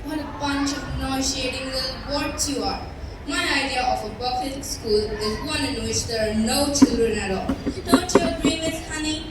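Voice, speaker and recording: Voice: high-pitched, moderate pace, natural voice. A young female performer in a school stage play, acting a cruel, bullying headmistress who despises children, scornful and imperious. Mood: stern disgust. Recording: roomy far-off stage recording, noisy.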